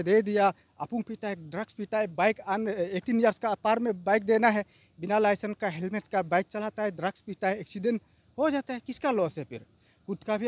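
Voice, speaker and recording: Earnest middle-aged man giving a street interview outdoors, higher-pitched voice, handheld mic. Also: no echo. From Arunachal Pradesh. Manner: earnest, repetitive, appealing. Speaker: vox pop interviewee. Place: outdoors by a riverbank.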